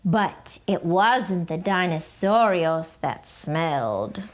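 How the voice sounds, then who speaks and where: A woman reading a children's picture book aloud, telling the story in an animated, theatrical way. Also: lowish voice, takes it slowly, a little hiss or hum.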